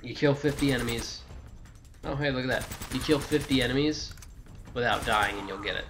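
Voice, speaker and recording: A man's voice: medium pitch, fairly quick, noisy background. A man giving a casual video game walkthrough, rambling and correcting himself, with jokey asides to the game. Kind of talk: casual gameplay walkthrough commentary.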